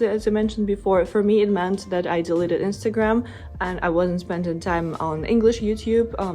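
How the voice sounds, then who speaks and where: A woman's voice: medium pitch, mellow. Encouraging woman with a Russian accent. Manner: earnest, encouraging, direct address to viewers. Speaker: language-learning vlogger. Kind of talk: motivational talk to camera.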